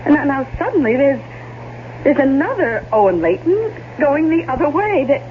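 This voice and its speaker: A woman's voice: high-pitched, sing-song. Swooping, suspicious young woman, tinny radio. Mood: suspicious, indignant. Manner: halting, repeats words emphatically. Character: suspicious, outspoken young woman working aboard an ocean liner. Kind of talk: 1970s radio drama acting. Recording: tinny 1970s radio recording, faint noise.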